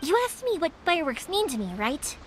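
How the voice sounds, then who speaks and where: A woman's voice: very high-pitched, very animated, brisk, a little hiss or hum. A woman voicing a cheerful, sentimental young fireworks maker in a video game, bubbly and earnest, with music behind her. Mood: reflective. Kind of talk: English video game voice acting.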